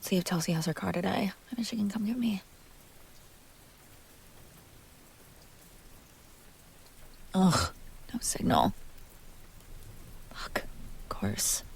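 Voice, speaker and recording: A woman playing a jealous, hurt young woman confronting her crush in an intimate audio roleplay, halting, sarcastic and emotionally raw. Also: medium pitch, very animated, creaky, darkish tone, slightly rough, in a whisper, heavily acted, low-quality recording. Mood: exasperated, muttering.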